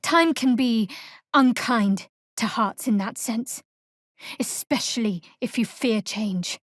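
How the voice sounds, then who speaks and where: Casting: sardonic young female dark cleric for a fantasy game, higher-pitched voice, measured and guarded. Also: dry recording, clean studio sound. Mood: guarded, earnest. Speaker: video game voice actor. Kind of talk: video game character dialogue.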